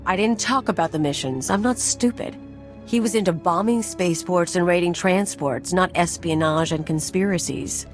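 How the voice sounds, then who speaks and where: An actress playing a tough, cynical, self-serving mercenary in a video game, blunt and casually streetwise. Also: female voice, on the low side, normal speed, soft background music, close to an everyday voice. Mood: defiant.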